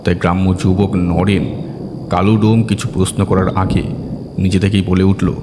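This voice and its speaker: Deep-voiced man narrating horror, in reverb. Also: little pitch movement, moderate pace, background noise. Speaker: story narrator. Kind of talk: dramatic scripted horror narration. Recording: long reverb, music bed behind.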